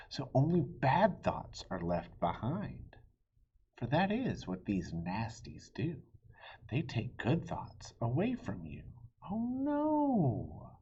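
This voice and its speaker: Husky, breathy man reading to children. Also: mid-range voice, lo-fi sound. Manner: theatrical, with breathy hushed dips and exclamations. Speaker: children's book reader. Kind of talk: animated read-aloud storytelling for children.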